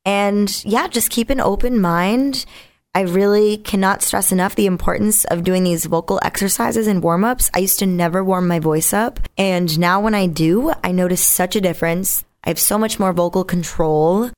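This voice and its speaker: A woman with a naturally raspy voice, a singer being interviewed, talking casually in a candid, reflective way. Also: medium pitch, normal speed. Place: radio studio.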